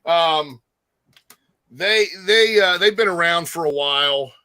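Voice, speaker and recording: A man chatting casually about music as a guest co-host, enthusiastic and slangy, often halting as he gathers his thoughts. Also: on the high side, bright, forceful tone, clean tone, no room sound. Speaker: guest co-host, metal fan.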